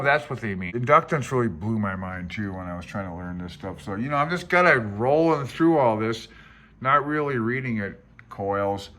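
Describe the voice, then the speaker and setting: A man's voice: lowish voice, fairly bright tone. A man in his sixties giving casual, rambling commentary as he pages through a book, laid-back and reminiscing as he thinks aloud. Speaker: amateur radio hobbyist presenting a handbook.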